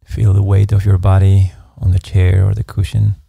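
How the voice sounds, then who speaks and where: A man narrating a guided meditation, giving calm, measured instructions in an unhurried way. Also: deep voice, gravelly, slightly rough, dry recording, studio quality. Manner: slow, calm, measured instruction with soft pacing.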